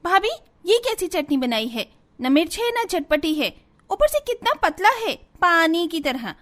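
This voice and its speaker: A woman's voice: extremely high pitch, big pitch swings, a little slow, a bit bright, pitched above the performer's own voice, heavily acted. A woman voicing a picky, mocking young sister-in-law in a family story, with a whiny, complaining manner. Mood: mocking complaint.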